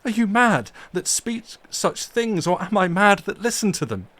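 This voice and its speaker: Man reading as young nobleman, anguished, impassioned, high and loud. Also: big pitch swings, moderate pace, no echo. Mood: outraged. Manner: impassioned, exclaiming.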